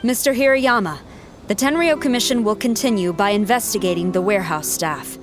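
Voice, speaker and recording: An actress playing a stern, dutiful military commander, formal and authoritative, in a video game. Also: female voice, mid-range voice, fairly quick, close to an everyday voice. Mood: stern, businesslike. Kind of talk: game dialogue. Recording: clear music behind the voice.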